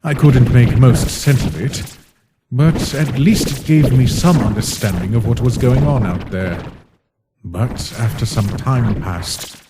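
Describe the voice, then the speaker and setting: A man voicing a weary, brooding ancient being who narrates a comic dub in a solemn, measured way. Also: fairly low pitch, very noisy. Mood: weary, somber. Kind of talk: scripted first-person comic dub narration. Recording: voice under loud music.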